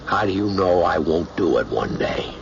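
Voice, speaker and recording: A man's voice: deep voice, animated, normal speed, creaky, a little hiss or hum. A man playing a conscientious, wry, argumentative pilot of the future in a radio drama. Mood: protesting, earnest. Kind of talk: radio drama acting with first-person narration. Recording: muffled old radio broadcast with music.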